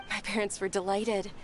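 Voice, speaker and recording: An actress playing a gentle, caring and politely insistent young noble lady in an anime video game. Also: mid-range voice, background noise. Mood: warm. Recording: music playing behind the voice.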